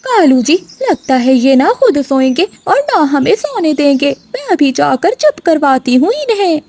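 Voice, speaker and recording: A woman's voice: sits high, sing-song, measured pace, a little mellow, exaggerated voice. A woman voicing a spiteful, scheming female crow neighbour in a cartoon, scolding and conniving. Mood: grumbling.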